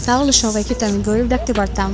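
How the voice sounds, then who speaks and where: A man with a Bangladeshi accent voicing a young woman through a pitched-up voice changer effect. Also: racing, over music. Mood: playful. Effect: voice changer, pitched up to female. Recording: noisy processed phone app playback.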